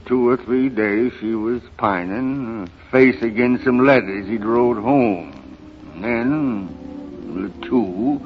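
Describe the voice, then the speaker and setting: An actor playing a sorrowful old rural American grandfather, telling his story haltingly in a folksy, dramatic 1930s radio style. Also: fairly low pitch. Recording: muffled, noisy 1930s radio recording.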